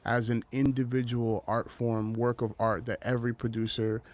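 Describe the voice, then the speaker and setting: A man's voice: mid-range voice, flat delivery, moderate pace, darkish tone. A man co-hosting a podcast, chatting casually and rambling, hunting for names with lots of ums and restarts. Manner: rambling, searching for names with many fillers. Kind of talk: casual chat.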